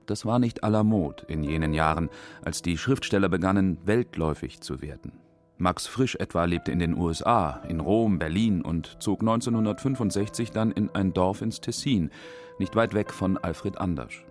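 A man's voice: low-pitched, moderate pace, faint music behind. A man reading scripted narration for a radio documentary feature.

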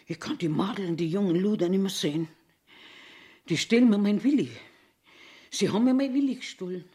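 A woman's voice: mid-range voice, brisk, warm tone, close to an everyday voice. A woman in her eighties with a Bavarian accent, playing a cranky, eccentric old washroom attendant grumbling to herself in a theatrical monologue. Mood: bitter. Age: 80s. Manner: grumbling self-talk, swinging between scolding and muttering. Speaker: actor.

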